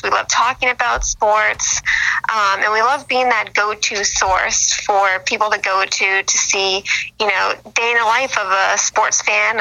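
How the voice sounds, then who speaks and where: A woman's voice: medium pitch, creaky, bright, forceful tone, low-quality recording. A young woman from Boston, chatting as an interview guest with many fillers and restarts. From Boston, USA. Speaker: sports blogger guest. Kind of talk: casual interview on a phone line.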